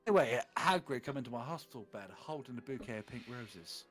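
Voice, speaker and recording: A man's voice: mid-range voice, expressive, fast talker. A man doing a comedic dramatic reading of fan fiction on a livestream, theatrical and mock-serious. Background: faint music.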